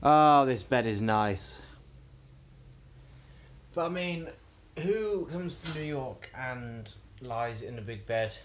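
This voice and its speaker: A man's voice: medium pitch, expressive, slow pace, long pauses, a little hiss or hum. Wry young man vlogging his travels. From England. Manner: dry, wry asides while filming himself. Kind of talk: casual travel vlog narration. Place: airport, plane, hotel room, indoors. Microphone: handheld camera at arm's length.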